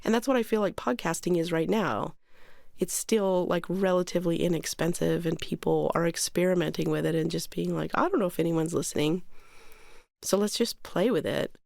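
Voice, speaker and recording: A woman's voice: on the low side, normal speed, vocal fry, a little mellow, no room sound, clean studio sound. A woman speaking casually and informally as an interviewee.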